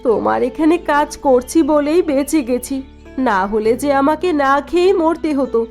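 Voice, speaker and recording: A woman's voice: high voice, normal speed, a little mellow, faint music behind. A woman playing a sorrowful but proud young wife in a cartoon drama, plaintive and earnest. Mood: sad recounting. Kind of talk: dubbed cartoon dialogue.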